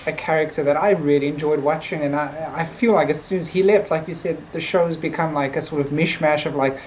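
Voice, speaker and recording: A woman's voice: low-pitched, fairly quick, darkish tone, highs cut off, slight background noise. A woman co-hosting a casual TV review discussion, speaking in a hedging, conversational way with many filler words. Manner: hedging, conversational, frequent "like" and "sort of". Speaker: podcast co-host discussing a TV series.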